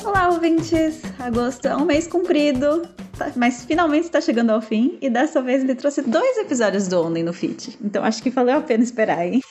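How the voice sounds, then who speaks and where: A woman's voice: high-pitched, fairly quick, darkish tone, soft background music. A young woman hosting a podcast, chatty and upbeat as she reads her intro and asks questions. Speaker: podcast host. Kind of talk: scripted intro and interview questions.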